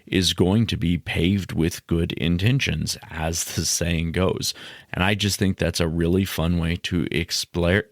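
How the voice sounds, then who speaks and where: Very deep-voiced man hosting a podcast. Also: creaky, no echo, clean studio sound. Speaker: podcast host. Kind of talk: solo educational podcast talk, partly scripted.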